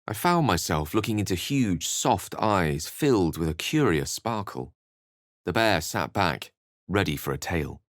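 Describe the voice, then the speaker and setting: A man's voice: low voice, no room sound, clean studio sound. A British man voicing an eloquent, courteous wizard in a video game, with articulate, bookish and theatrical phrasing. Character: eloquent, urbane male wizard, wry and courteous. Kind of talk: video game character voice acting.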